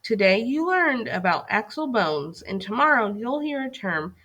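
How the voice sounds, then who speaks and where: A woman's voice: a little high, very animated, measured pace, a bit bright. A woman teacher reading a scripted lesson aloud for young children in an instructive way, putting questions to her listeners.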